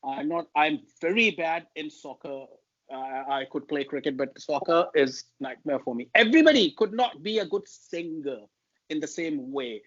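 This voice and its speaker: High-voiced Pakistani man, measured. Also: lively intonation, a bit bright, lo-fi sound. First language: Urdu. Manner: measured, emphatic, lists points. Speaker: guest linguist. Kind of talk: interview talk on public speaking.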